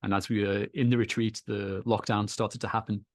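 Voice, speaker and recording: A man with a Liverpool accent, a podcast guest telling his story in an easy, measured way, with small repeats. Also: on the low side, little pitch movement, dry recording, studio quality. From England. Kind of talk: relaxed storytelling in an interview. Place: home in Kenya.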